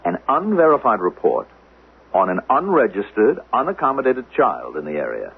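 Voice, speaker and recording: Smooth, husky-voiced government agent. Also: male voice, fairly low pitch, wildly swooping pitch, full character voice. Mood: probing, insinuating. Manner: probing, politely menacing, deliberate. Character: smooth, insinuating male council agent posing as language teacher. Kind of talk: 1970s radio drama acting. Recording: muffled lo-fi 1970s radio broadcast.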